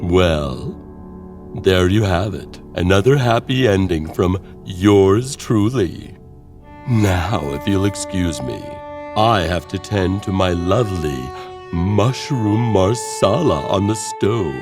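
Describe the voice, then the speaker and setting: A man narrating scripted horror tales as host, in a theatrical, wry manner with drawn-out phrasing. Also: low-pitched, animated. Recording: music under the voice.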